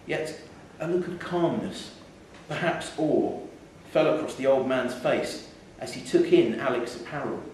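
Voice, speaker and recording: A man reading a short story aloud in a measured, wry storytelling manner, live in a theatre. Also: medium pitch, darkish tone. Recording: echoey theatre hall, distant mic, faint noise.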